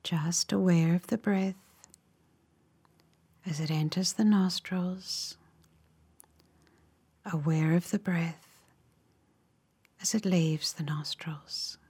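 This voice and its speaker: Female meditation guide, lowish voice, very unhurried and calm, softening into breath at phrase ends. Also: slightly rough. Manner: calm, measured, instructive.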